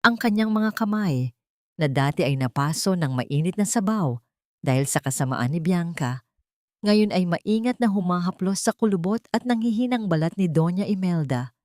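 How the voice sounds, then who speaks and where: A woman's voice: fairly low pitch, lively intonation, normal speed, no echo, clean studio sound. A woman reading a scripted, dramatic story as its narrator.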